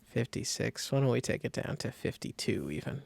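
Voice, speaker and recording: Gravelly-voiced man teaching casually. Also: mid-range voice, big pitch swings, moderate pace, a little mellow, no echo. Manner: informal, thinks aloud while demonstrating. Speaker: design tutorial instructor. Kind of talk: casual step-by-step software tutorial.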